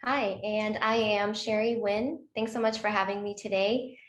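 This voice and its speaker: A woman's voice: mid-range voice, fairly even intonation, normal speed, roomy sound. A woman from Texas introducing herself on an online panel in an upbeat, lilting way. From Texas, United States. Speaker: panelist, marketing manager.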